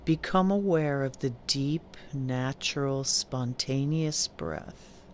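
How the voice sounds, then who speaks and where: A woman teaching yoga nidra, giving calm, deliberate guided meditation instructions with long pauses between phrases. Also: a bass voice, a little hiss or hum.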